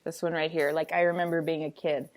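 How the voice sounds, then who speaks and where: A woman's voice: low voice, flat delivery, fast talker. A woman reading a picture book aloud like a teacher, with a sing-songy, playful, dramatic delivery and chatty asides.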